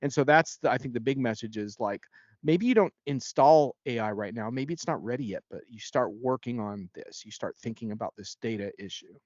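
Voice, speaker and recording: A man's voice: mid-range voice, fairly quick, darkish tone, dry recording. Conversational man, dry muffled audio. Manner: emphatic, conversational, acts out imagined remarks. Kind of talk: casual panel discussion.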